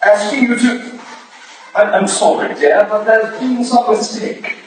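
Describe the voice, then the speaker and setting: A high male voice comes from far off in an echoing, noisy hall. An actor plays an airy, polite, teasing Victorian gentleman in a stage drama. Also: measured pace. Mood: flustered. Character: breezy, teasing Victorian gentleman, the troublesome brother.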